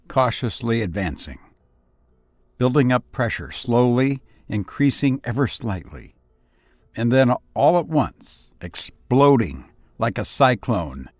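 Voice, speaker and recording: A man hosting and narrating horror stories in a dramatic, scripted style, with deliberate, suspenseful pauses. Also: mid-range voice, dry recording, studio quality. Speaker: narrator and host of audio story show.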